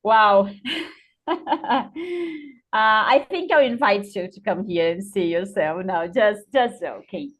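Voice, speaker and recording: A Brazilian woman, an English teacher, answering students' questions thoughtfully with a Brazilian Portuguese accent and frequent pauses and restarts. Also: mid-range voice. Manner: thoughtful, many uh fillers and restarts. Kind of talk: answering students' questions over a video call.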